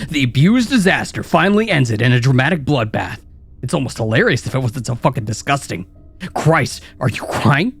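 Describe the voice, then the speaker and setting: A man's voice: lowish voice, a little mellow, slightly rough. A man voicing a cruel, sneering male inner critic that mocks and taunts relentlessly in a dramatized story reading. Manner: mocking, taunting, vicious. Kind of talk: dramatized horror story narration.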